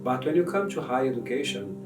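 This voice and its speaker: A man's voice: mid-range voice, sing-song, quick pace, echoey room, distant mic, over music. A male voice teacher giving classroom directions and explaining his teaching with questions. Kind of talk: classroom instruction and interview.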